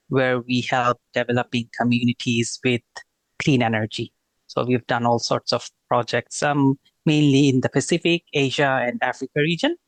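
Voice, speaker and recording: A man's voice: medium pitch, no room sound. A man in his thirties from Bangladesh, a guest talking casually in an interview, with many fillers and restarts. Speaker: guest, renewable energy consultant.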